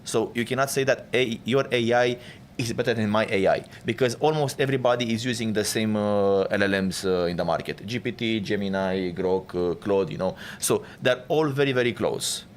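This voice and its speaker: A man speaking on a panel in a casual, measured way, explaining his points with analogies. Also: fairly low pitch, a little hiss or hum.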